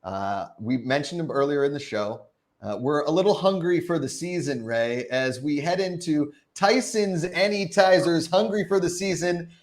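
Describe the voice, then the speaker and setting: A man's voice: on the high side, normal speed. A man hosting a live talk show in an informal, joking way. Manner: jokey, informal. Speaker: show host.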